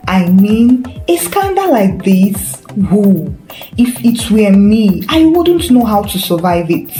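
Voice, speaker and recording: A scheming, smug churchman from a story, acted out by a woman. He is unctuous and theatrically concerned, with animated pitch and a mellow tone over faint music. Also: slow pace. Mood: feigned sympathy. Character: smug, scheming male church rival feigning sympathy. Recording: roomy echo with faint music.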